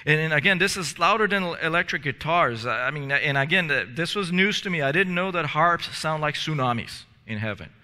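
A man in his thirties preaches in a church sanctuary, his voice strikingly bright and a little high. He talks earnestly, stumbles into restarts and repeated words, and a long hall reverb trails behind him on a headset mic. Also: expressive, fairly quick, hardly any pauses. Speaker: pastor. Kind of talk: sermon. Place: church sanctuary in front of stage steps.

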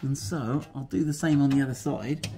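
A man's voice: medium pitch, fairly quick, background noise. Chatty British man fixing a car. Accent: British English. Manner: chatty and self-mocking, thinking aloud. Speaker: workshop presenter. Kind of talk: casual how-to chat while working. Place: indoor workshop garage.

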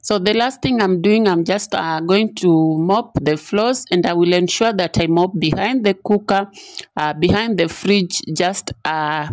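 A young woman talks through her household cleaning in a relaxed voice-over. Her voice sits low and sounds close and dry. She speaks unhurriedly, filling gaps with soft "uh"s. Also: no room sound. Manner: unhurried, with frequent "uh" fillers. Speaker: cleaning vlogger. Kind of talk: casual voice-over narration of chores.

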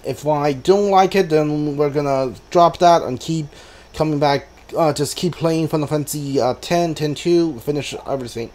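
A man giving casual gameplay commentary as a streamer, hesitant and thinking aloud as he goes. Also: a little high. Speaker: video game streamer. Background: video game music.

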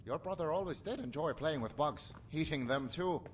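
A man's voice: fairly high pitch, lively intonation, normal speed, slight background noise, natural voice. Man playing folksy, darkly cheerful rustic father. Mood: breezily macabre. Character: jovial superstitious rustic husband and father, callous, cheerfully macabre. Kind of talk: video game character acting.

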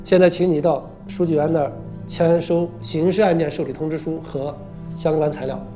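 A man's voice: fairly high pitch, fairly even intonation, normal speed, over music, close to an everyday voice. An actor playing a businesslike male court official making a formal, matter-of-fact announcement.

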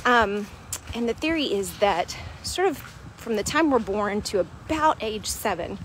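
A woman's voice: mid-range voice, expressive, measured pace, a little hiss or hum, rough recording. A woman, a weight loss coach chatting casually in a walk-and-talk vlog, explaining things with lots of "right?" and "um". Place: outdoors at a beach park. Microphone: wired earphone mic, phone at arm's length.

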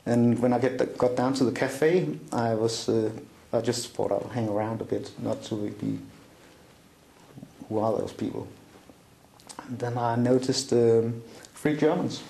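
Middle-aged Danish man, gravelly dark voice, echoey room, halting interview guest. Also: mid-range voice, steady tone, slight background noise. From Denmark. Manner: halting, many fillers and restarts. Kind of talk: recounting a personal story in an interview. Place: small room indoors, seated at a table.

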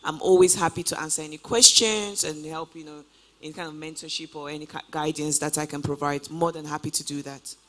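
A woman's voice: low-pitched, fairly even intonation, fairly quick. A woman in her twenties answering interview questions on a stage, upbeat and casual, full of "you know" fillers, speaking into a handheld mic in a large auditorium. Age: 20s. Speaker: guest traveler.

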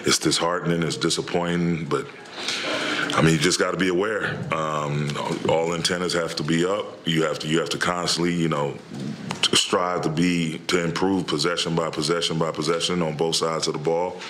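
A middle-aged man, a head coach, answering press questions after a game in a halting way with many restarts, speaking into a table microphone in a press room. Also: low voice, fairly even intonation, noisy background. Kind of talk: postgame press conference answers. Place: press room in front of a logo backdrop.

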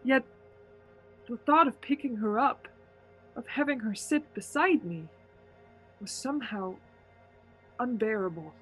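A woman's voice: a little high, animated, measured pace, pauses often, slightly rough, faint music behind, poor audio. A woman playing a haunted, anxious young driver who tells her story in a suspense radio play, earnest and nervous. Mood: brooding dread.

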